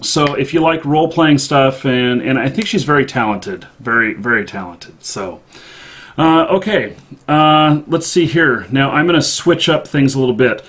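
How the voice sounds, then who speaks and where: Male, livestream host, mid-range pitch, halting and hesitant, casual chat. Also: a bit bright. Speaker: live show host.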